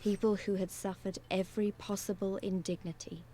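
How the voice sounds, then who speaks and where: A solemn woman, played by an actress, narrates a fantasy game scene in a reflective, dramatic way. Faint background noise sits behind her mid-pitched voice. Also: level tone, fairly quick, mellow, natural voice. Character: solemn woman narrator recalling war and hardship.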